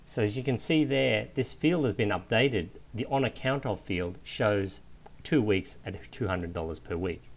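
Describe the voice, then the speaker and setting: A man with a fairly low voice narrates a software tutorial. He explains each step informally, in the manner of an instructor walking a learner through a screencast. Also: normal speed, vocal fry.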